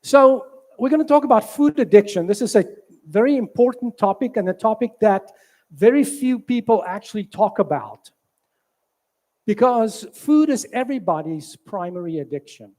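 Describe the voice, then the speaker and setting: A man in his sixties from South Africa with a South African accent, a physician giving a halting health talk at a church, with many fillers. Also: sits high, animated, dark tone. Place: church hall.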